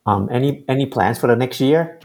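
A man hosting a podcast, chatty and relaxed, who often ends his points with tag questions. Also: mid-range voice, fairly quick. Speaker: podcast host. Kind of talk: casual interview.

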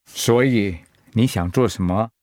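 A man's voice: fairly low pitch, a little slow, a little mellow, no echo, clean studio sound, close to an everyday voice. A man playing a supportive, brotherly friend in a radio drama, talking in an upbeat, familiar, encouraging way.

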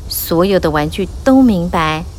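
Animated woman telling a fairy tale. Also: lowish voice, a little slow, slight background noise. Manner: animated, expressive, rising and falling with the drama. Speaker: storyteller. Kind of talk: scripted narration of a children's fairy tale.

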